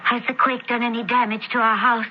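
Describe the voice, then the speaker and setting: Woman as high-voiced, anxious young Roman lady. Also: flat delivery, brisk, close to an everyday voice. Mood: weary relief. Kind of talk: radio drama acting. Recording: muffled, faintly noisy radio broadcast.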